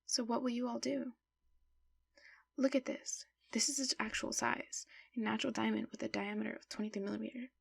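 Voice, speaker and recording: A woman playing a commanding middle-aged school principal who runs a criminal crew, husky and creaky, measured and businesslike, in an anime-style fan dub. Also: fairly high pitch, little pitch movement, heavily acted. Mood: businesslike, secretive.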